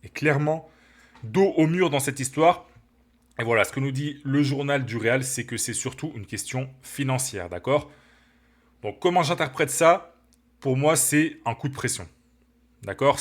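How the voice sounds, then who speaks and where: A man with a cold hosting a football channel, talking to viewers in an animated, opinionated, casual way from his living room. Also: medium pitch, a little slow, long pauses. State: has a cold. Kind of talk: casual opinion commentary to camera.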